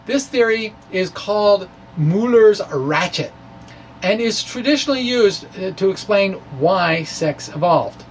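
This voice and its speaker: A man giving an informal, halting reading of his science blog, with wry conversational asides. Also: high voice, lively intonation. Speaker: science blogger and presenter. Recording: distant mic with faint background noise.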